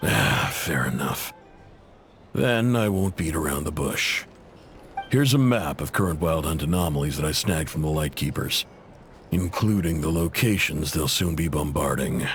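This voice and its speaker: A man's voice: a bass voice, unhurried, soft background music. A man playing a proud, indebted intel broker in a video game, blunt, casual and guarded, with an exasperated edge. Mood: guarded, informative.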